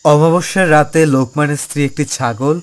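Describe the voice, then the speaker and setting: A man's voice: fairly high pitch, a little fast, faint music behind. A man narrating a scripted horror tale for a cartoon.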